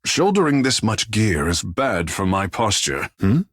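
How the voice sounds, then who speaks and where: Vain, theatrical young demigod with wildly swooping pitch. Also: male voice, big pitch swings, measured pace, breathy edge, no room sound, clean studio sound, exaggerated voice. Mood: vain, playful. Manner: theatrical, haughty, playful. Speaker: video game companion character. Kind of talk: scripted game voice lines and battle barks.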